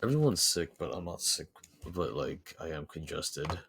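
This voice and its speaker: A man's voice: rumbling low, sing-song, moderate pace, creaky, background noise. A tired, congested man giving short, drowsy replies in casual chat. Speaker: guest.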